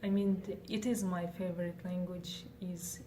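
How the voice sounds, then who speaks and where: A woman's voice: lowish voice, level tone, normal speed, dark tone. A young woman from Kazakhstan, whose first language is Kazakh, answering interview questions in English with many fillers and restarts. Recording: faint noise, distant from mic.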